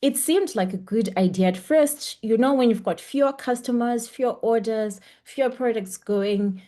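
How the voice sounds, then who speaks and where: A woman's voice: on the high side, moderate pace, dark tone. A young woman teaching a webinar over a video call, speaking in a chatty, encouraging way with frequent ums. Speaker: webinar instructor. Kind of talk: online lecture. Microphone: computer mic on a video call.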